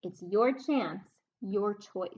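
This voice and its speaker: A woman hosting a children's story time, reading aloud in a sing-song, animated way and prompting young listeners with questions. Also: mid-range voice, takes it slowly, highs cut off.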